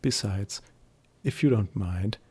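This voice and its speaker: A man playing an affectionate, teasing furry male friend in an intimate roleplay ASMR, softly flirtatious and hesitant, with a breathy, whispery voice. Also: lowish voice, takes it slowly. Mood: flirty, teasing.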